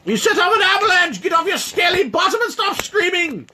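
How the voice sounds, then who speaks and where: A man voicing an angry male giant who bellows and scolds in a high, strained shout from a distance. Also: sing-song, brisk, a little hiss or hum, full character voice. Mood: furious.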